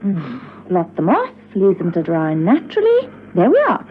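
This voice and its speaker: A woman's voice: lowish voice, huge pitch swings, normal speed. An actress playing a cool, clever woman secret agent in a radio drama, arch and observant. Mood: keen. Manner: arch, observant, playfully dry. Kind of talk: classic spy radio drama acting. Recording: dull, noisy old radio recording.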